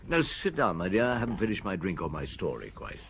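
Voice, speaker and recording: A seasoned French police detective in an audio drama. His low voice moves expressively, yet he stays calm, shrewd and deliberate, stating things bluntly. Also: a little hiss or hum, heavily acted. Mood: reflective. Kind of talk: radio drama acting.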